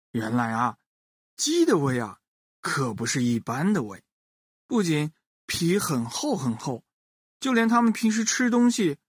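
A woman's voice: on the low side, breathy edge, no room sound, studio quality. A woman telling a children's story expressively, addressing young listeners directly with playful questions and little interjections.

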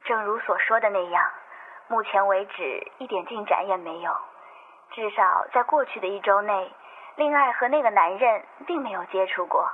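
A woman's voice: mid-range voice, moderate pace, a little hiss or hum. A woman playing a businesslike private detective, reporting politely and carefully in a radio drama. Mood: polite, cautious. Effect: telephone filter. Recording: thin telephone-like sound.

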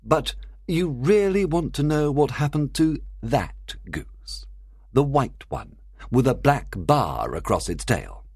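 A man's voice: mid-range voice, big pitch swings, slow, a little mellow, slightly rough, no room sound, studio quality, voice pushed up, exaggerated voice. A man voicing a confident, courteous, shrewd detective with a polite, theatrical delivery in a storybook reading. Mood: probing. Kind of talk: acted audiobook dialogue.

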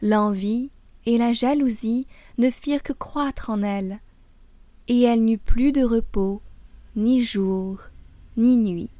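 Female French teacher, native speaker from France, very slow delivery, careful enunciation, dry close sound, guitar music behind. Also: fairly high pitch, dark tone, no room sound. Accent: French accent in Mandarin. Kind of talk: scripted language lesson with slow storybook reading.

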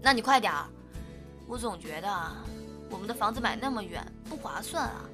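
A woman acting a sweet, homely young girlfriend, coy and gently scolding, in a Chinese audio drama. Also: medium pitch, expressive, brisk, music in the background, natural voice.

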